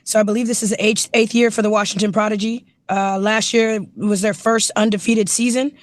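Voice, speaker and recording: A woman football coach with a naturally raspy voice, hoarse from yelling, chatting casually with enthusiasm in an interview over a video call. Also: mid-range voice, little pitch movement, fairly quick. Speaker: running backs coach, guest.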